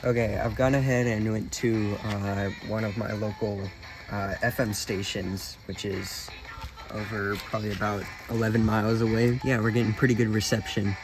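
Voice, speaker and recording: A man giving a casual, informal product demonstration as a video host, speaking in a somewhat hesitant, halting way. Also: lowish voice, little pitch movement, music in the background, poor audio. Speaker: video host, radio hobbyist.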